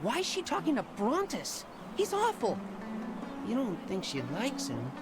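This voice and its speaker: Chatty excitable spartan kid brother, boyish, voice jumps all around, music under it. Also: male voice, very high voice, moderate pace, full character voice. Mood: annoyed. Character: eager, chatty teenage Spartan boy, younger brother. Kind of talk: video game voice acting.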